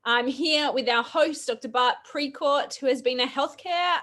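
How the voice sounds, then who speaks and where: Croaky aussie lady hosting a live chat, kinda high voice, laughs a lot, um-ing along on a call mic. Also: moderate pace, fairly bright tone. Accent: Australian. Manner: chatty, laughs often, fillers. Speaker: podcast host. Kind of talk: casual live-stream chat.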